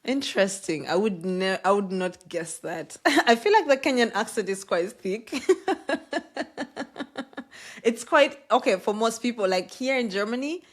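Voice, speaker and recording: A woman from East Africa hosting a podcast interview, chatty and quick to laugh. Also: mid-range voice. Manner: chatty, laughs often, lively storytelling. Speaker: podcast host. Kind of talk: casual interview chat.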